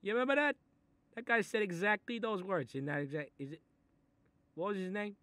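A man playing a gruff, sarcastic Italian-American mob boss in a comic monologue, exasperated and muttering threats as he reads a picture book aloud. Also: high voice, lively intonation, a little fast, bright, forceful tone, no echo. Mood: agitated, nostalgic. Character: short-tempered, sarcastic Italian mob boss babysitting a kid. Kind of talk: comic improvised character monologue.

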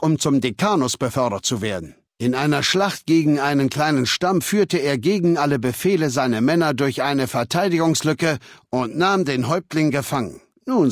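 An actor playing a cold, imperious warlord dictator in a video game, speaking in a commanding, haughty, lecturing manner. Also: male voice, on the high side, no room sound, clean studio sound. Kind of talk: video game character dialogue.

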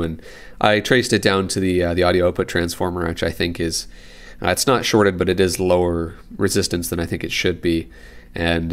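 A man's voice: deep voice, creaky. A man giving a casual, unscripted repair demonstration, rambling informally as he thinks aloud. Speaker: radio repair hobbyist and presenter. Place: indoors at a desk in an apartment living room.

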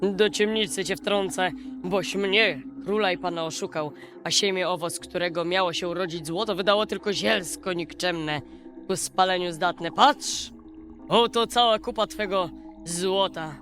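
A woman voicing a proud, hot-tempered fairy-tale king, speaking sternly and forcefully as if barking orders. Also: fairly low pitch, unhurried. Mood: wrathful, scornful. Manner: stern, forceful shouting delivery. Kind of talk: fairy-tale storybook character voice. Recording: music behind the voice.

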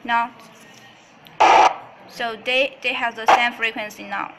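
A woman demonstrating a product in a tutorial style, speaking haltingly in non-native English with repeated phrases. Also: a little high, fairly even intonation, loud music behind the voice. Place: indoors at a desk.

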